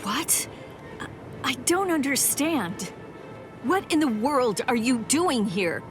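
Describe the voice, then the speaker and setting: A woman voicing an earnest, distraught young captive warrior in an anime game dub, halting and intense. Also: sits high, big pitch swings, heavily acted. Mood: stunned, uneasy. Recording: echoey hall reverb, music behind.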